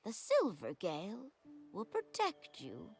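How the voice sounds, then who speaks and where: A woman voicing a cheeky, bossy little talking pet companion in a video game, lively and impatient. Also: mid-range voice, slow pace, creaky, slightly rough, faint music behind, heavily acted. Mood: guiding.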